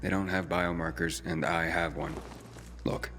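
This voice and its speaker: A man's voice: sits low, level tone, measured pace. An actor playing a wary, blunt and indignant young male survivor in a video game, with music behind him.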